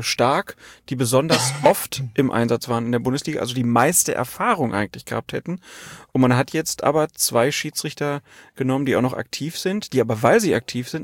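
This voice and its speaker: A man's voice: medium pitch, no room sound, studio quality. A man hosting a casual football podcast, asking questions in a colloquial way and thinking aloud as he goes. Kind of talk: casual conversational interview.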